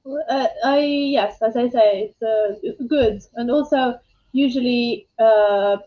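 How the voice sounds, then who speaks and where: A woman chatting as an online English tutor on a video call, friendly and quick to laugh. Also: a little high, muffled recording. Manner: friendly, laughs easily, hesitant fillers. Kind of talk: casual video-call chat.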